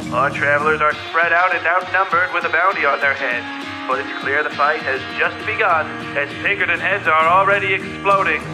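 A high-voiced man reads a dramatic teaser for a fiction podcast. His scripted delivery is suspenseful, and guitar music plays clearly behind him. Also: low-quality recording. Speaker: podcast announcer. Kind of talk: scripted next-episode teaser.

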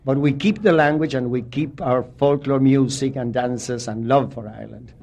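A man with an Argentine accent, a Spanish speaker answering in English at a mid pitch. He talks reflectively and stops to recall family names and places one at a time. His delivery is that of a literature teacher being interviewed. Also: moderate pace, darkish tone, muffled recording.

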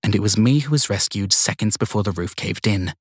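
A man voicing a bold, steely woman detective in an audiobook, speaking in a defiant, pointed, deliberate way. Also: fairly low pitch, slightly rough, no echo, clean studio sound. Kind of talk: audiobook character dialogue.

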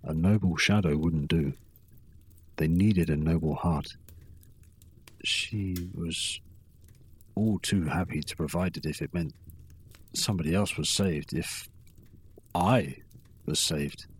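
An actor playing a weary, drunken old wanderer in a fantasy audio drama, recounting his bitter youth in a brooding, halting way with a husky voice. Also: sits low, exaggerated voice. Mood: grim, resigned.

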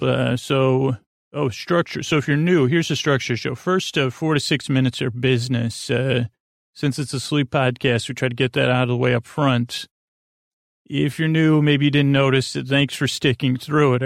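A man hosting a sleep podcast, rambling gently in a soothing, creaky drawl full of fillers and self-corrections. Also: no room sound, studio quality. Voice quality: creaky, low-key drawl.

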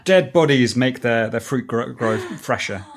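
A man's voice: mid-range voice, measured pace. A man, chatting casually on a podcast panel. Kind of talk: casual chat.

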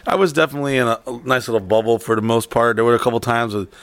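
A man's voice: mid-range voice, fairly even intonation, racing, a bit bright, no room sound. A man in casual chat, telling a rambling, swear-heavy story.

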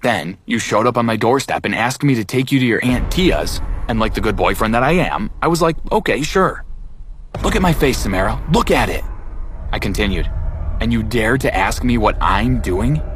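Man acting a bitter young thriller narrator, lowish voice, very animated delivery, dramatic, brooding. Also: fairly quick, a little hiss or hum. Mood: angry, accusing. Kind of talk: first-person dramatized narration.

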